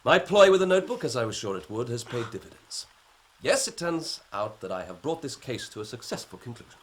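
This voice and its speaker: A man's voice: mid-range voice, very animated, fairly quick, a little hiss or hum, natural voice. An actor playing a sneering male police inspector in a radio drama, sarcastic and needling. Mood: smug.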